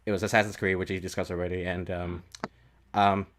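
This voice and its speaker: A man with a fairly low voice hosts a casual podcast conversation. He talks haltingly, circling back and repeating words as he finds his way through a sentence. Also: flat delivery, a bit bright. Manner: hesitant, halting, repeats himself. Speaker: podcast host.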